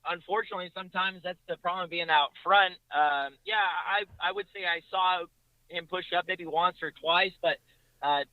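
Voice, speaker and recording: A man's voice: sits high, fairly even intonation, normal speed, smooth, no echo. Man, podcast guest, casual racing talk, detailed and conversational, dry close sound, slightly dull audio.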